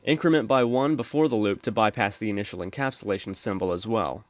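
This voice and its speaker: A man calmly explaining code step by step as the host of a screen-recorded programming tutorial. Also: lowish voice, quick pace, no echo. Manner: steady explanatory, addresses viewers as folks.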